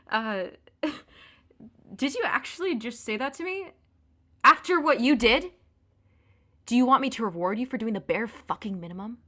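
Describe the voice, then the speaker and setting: A woman's voice: a little high, expressive, moderate pace, pauses often, bright, forceful tone. A woman acting in an audio drama as a hurt, furious young girlfriend, sarcastic and biting as she confronts her cheating boyfriend. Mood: furious, scornful.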